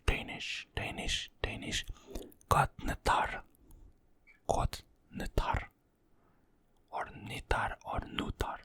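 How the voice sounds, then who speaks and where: A person whispering ASMR-style, repeating phrases softly and apologizing for their pronunciation. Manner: soft whisper, repeats words slowly, apologetic about pronunciation. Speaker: ASMR creator.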